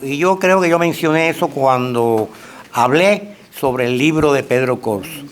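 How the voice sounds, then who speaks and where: Slow, earnest high-voiced man. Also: a bit bright. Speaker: panelist at a book presentation. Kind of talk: speaking up in a panel discussion.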